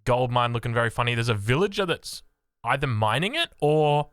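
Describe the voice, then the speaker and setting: An Australian man reacting to a video as he watches, excitable and thinking aloud, cutting in on himself. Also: mid-range voice, fairly quick, clear, resonant tone, no echo, studio quality. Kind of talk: casual reaction commentary.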